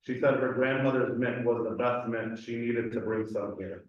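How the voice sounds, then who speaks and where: A young man from Cleveland reads a heartfelt personal essay in an even, measured way. He is recorded from a distance in a very echoey hall. The sound is dull and roomy. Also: mid-range voice. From Cleveland, USA. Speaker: community speaker. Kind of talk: reading a personal essay aloud. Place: community event hall.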